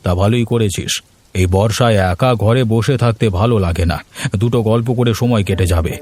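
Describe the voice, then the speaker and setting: A man's voice: lowish voice, moderate pace, a little mellow, husky. A man playing a shrewd, teasing village headman in a cartoon moral story. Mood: relaxed, chatty.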